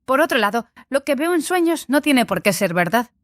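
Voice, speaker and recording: A woman's voice: sits high, big pitch swings, moderate pace, no room sound, studio quality. A woman playing a frank, touchy young female sorcery student who sees visions in dreams, defensive and sardonic, in an audiobook drama. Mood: thoughtful.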